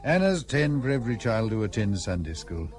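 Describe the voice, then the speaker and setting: An actor playing a jovial, wry, urbane British colonial gentleman with old-fashioned turns of phrase in a radio play. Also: mid-range voice, sing-song, unhurried. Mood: amused. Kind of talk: period radio play acting.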